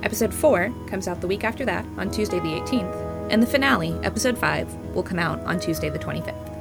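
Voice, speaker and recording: A woman, writer and host of a podcast, reading a scripted promo in a bright, upbeat, clearly enunciated way. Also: lowish voice, expressive, fast talker. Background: music.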